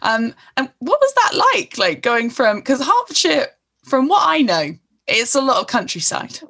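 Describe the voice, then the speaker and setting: A young woman hosting a casual interview, chatty and quick to laugh. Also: high voice, big pitch swings, a bit bright. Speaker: host.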